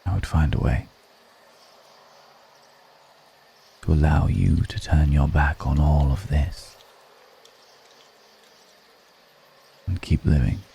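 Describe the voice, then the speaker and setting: Vampire lord for ASMR roleplay, male actor: extremely low, dark and gravelly, seductive, solemn, hushed delivery. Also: steady tone, a little hiss or hum. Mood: tender, musing. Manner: slow, deliberate, hushed, solemn.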